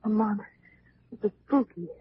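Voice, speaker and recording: A woman's voice: mid-range voice, moderate pace, close to an everyday voice. An actress playing a nervous, spooked female companion to a sleuth in a 1940s radio drama. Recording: muffled, noisy old radio recording.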